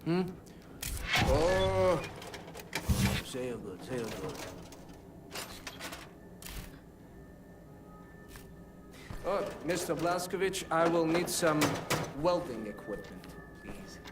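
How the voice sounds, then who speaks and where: An actor playing an elderly, eccentric, proud scholarly engineer in a game, speaking deliberately with occasional stammers. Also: male voice, on the high side, big pitch swings, very noisy. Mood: obliging, brisk. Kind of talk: video game cutscene acting.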